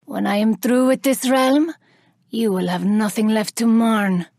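Vengeful elven woman warrior, haughty, theatrical, deliberate menace, slightly high pitch, dry studio sound, video game character. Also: darkish tone, dry recording. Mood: vengeful, cold.

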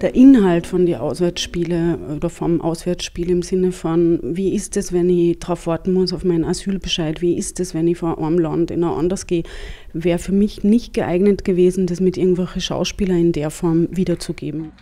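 A man in his thirties in a documentary interview, explaining his project in a measured way into a handheld mic. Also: high voice, steady tone, mellow. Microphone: handheld foam-covered mic.

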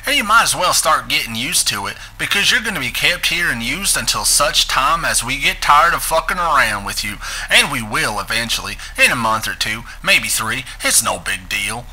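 A man's voice: mid-range voice, animated, moderate pace, hardly any pauses, sharp tone, slightly rough, low-quality recording. A man giving a dramatic reading of a script as its narrator. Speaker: narrator reading a transcript aloud.

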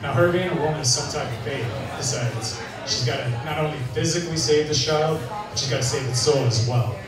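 A young man doing a stand-up set on a bar stage, with a dry, deadpan delivery, filmed on a handheld mic from out in the crowd. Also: a little high, fairly quick, over music. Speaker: stand-up comedian. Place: bar stage with a live audience. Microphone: handheld mic, filmed from far in the crowd. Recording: echoey bar sound from far off, crowd laughter.

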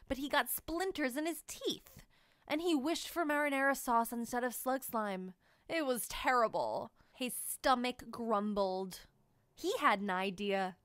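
Animated woman reading a picture book aloud. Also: on the high side, clear, resonant tone, no echo, studio quality. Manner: animated storytelling, sing-song. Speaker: read-aloud narrator. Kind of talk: expressive children's book read-aloud.

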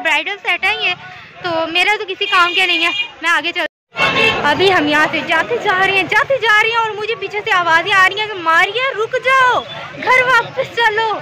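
A young woman with a Pakistani accent, chatting effusively as she hosts a casual vlog from a busy bazaar, recorded on a phone at arm's length. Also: very high-pitched. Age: young adult. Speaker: vlogger, host. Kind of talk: casual chatty market tour. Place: busy outdoor covered bazaar. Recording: busy street crowd and traffic noise.

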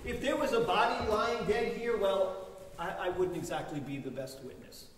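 Man acting a high-voiced, pushy middle-aged suspect. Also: normal speed, lightly acted. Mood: pushy, smug. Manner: insistent, glib. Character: pushy, smug male suspect in a suit. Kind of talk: live stage play acting. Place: theater stage with audience, far camera. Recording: very echoey theater, far off, noisy.

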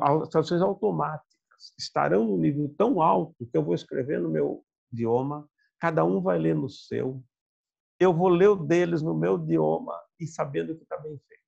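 An older man lectures over a video call in an emphatic, conversational way. His voice is deeply gravelly with a husky edge, and the sound comes through dull and lo-fi. He talks from an office chair in front of a webcam. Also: darkish tone. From Brazil. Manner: emphatic, conversational, gesturing with hands. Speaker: guest lecturer. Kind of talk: seminar talk on video call.

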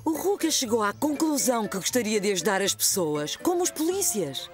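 A woman with a European Portuguese accent narrating a children's cartoon like a gentle storybook reader. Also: mid-range voice, big pitch swings, fairly quick, loud music behind the voice, natural voice. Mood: storytelling.

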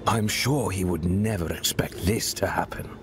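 An actor playing a grave, knowing hooded mentor in a fantasy game, speaking formally and deliberately. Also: male voice, low voice, lightly acted. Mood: impressed, measured. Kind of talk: dramatic fantasy game dialogue. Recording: noisy ambient game soundscape.

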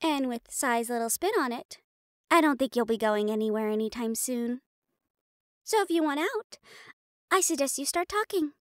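A feisty young huntress as a woman plays her in audio roleplay. Her voice is high and swings widely, with a brash, cheeky, casual delivery, recorded very dry. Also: expressive, normal speed, no echo. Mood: cocky. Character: feisty, loyal young female supernatural hunter.

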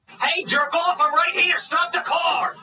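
A man's voice: sits high, big pitch swings, brisk, pushed and bright, exaggerated voice. A man voicing a hot-tempered, foul-mouthed puppet policeman, whiny and prone to ranting, recorded at a distance with noise behind. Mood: outraged. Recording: distant mic with clear background noise.

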